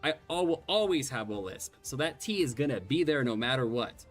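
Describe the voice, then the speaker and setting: A man's voice: medium pitch, animated, fairly quick. Man with a slight lisp, rambling. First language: Gaelic. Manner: rambling, self-deprecating, swears casually. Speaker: streamer. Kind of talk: casual gameplay commentary. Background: faint game music.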